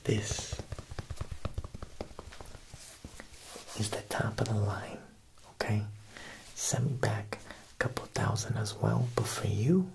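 A young man playing a smooth, menacing Italian mob boss tailor in a whispered ASMR roleplay, coaxing and deliberate with veiled threat. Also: on the low side, low-quality recording. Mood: smooth, generous. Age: young adult. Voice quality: breathy whisper with creaky edges. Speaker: ASMR performer.

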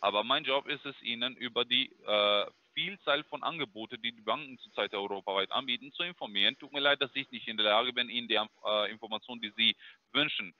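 Halting man cold-calling on a muffled line. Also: medium pitch, no room sound. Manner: halting, searching for words. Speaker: cold caller. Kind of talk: sales phone call.

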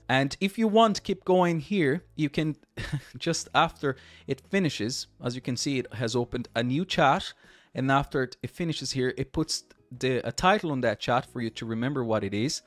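A man's voice: mid-range voice, no echo. A man in his thirties with a Portuguese accent, hosting a chatty screen-share tutorial, often repeating and restarting words. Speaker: tech tutorial host.

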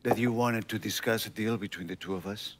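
A man playing a menacing, coldly courteous drug supplier in a video game, speaking formally and deliberately with an Italian accent. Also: fairly low pitch, steady tone, natural voice. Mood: coldly polite. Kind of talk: dramatic video game dialogue.

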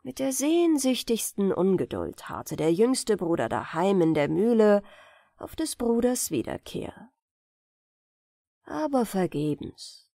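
A woman narrating a bedtime fairy tale in an expressive, deliberate storytelling manner. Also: medium pitch, dry recording, clean studio sound.

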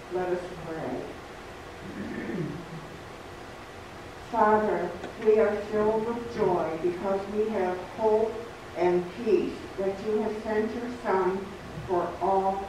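An older woman slowly reads an Advent candle liturgy and prayer in a measured, solemn way. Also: mid-range voice. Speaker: Advent reader. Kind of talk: slow liturgical reading and prayer. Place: small church sanctuary, standing at the front. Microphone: stand mic, far camera. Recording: echoey church room from a distant camera, background noise.

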